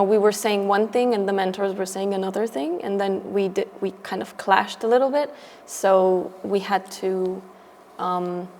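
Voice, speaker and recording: A woman's voice: medium pitch, a little mellow. A young woman talking casually in an interview about her own experience. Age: young adult. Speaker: youth theatre project participant. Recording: reverberant hall, some background noise.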